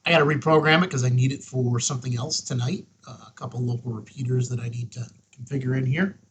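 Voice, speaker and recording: A man's voice: mid-range voice, fairly even intonation, fast, slight background noise. A man hosting a casual hands-on product review, talking in a folksy, emphatic way with short punchy phrases. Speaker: host of a ham radio review show.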